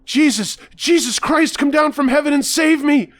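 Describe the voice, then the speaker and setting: A man's voice: extremely high pitch, moderate pace, fairly bright tone, dry recording, pitched above the performer's own voice. A man voicing a panicked, bleeding man who screams out prayers in a frantic, wailing voice. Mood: frantic screaming.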